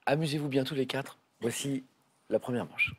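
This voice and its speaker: A man hosting a television quiz show, chatting with contestants in a teasing, friendly way, his voice a little hoarse. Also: medium pitch, moderate pace. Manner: chatty, teasing, with frequent fillers and restarts. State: voice a bit hoarse. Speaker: game show host. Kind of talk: friendly candidate introductions. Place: television studio.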